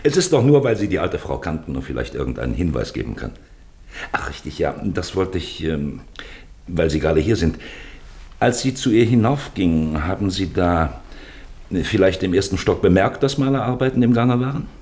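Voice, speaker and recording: A very low-voiced, sly investigator in a radio drama. He swings widely in pitch as he probes, wheedles and insinuates behind a show of jovial, apologetic courtesy. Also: very animated, moderate pace, a little mellow. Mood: feigned casual. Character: sly, probing male police investigator, feigning jovial courtesy. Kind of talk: German radio play acting.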